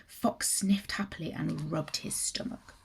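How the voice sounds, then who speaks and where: A woman narrating a children's story in an expressive, deliberate manner. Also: low voice, gravelly, slightly rough. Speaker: teacher reading a story aloud.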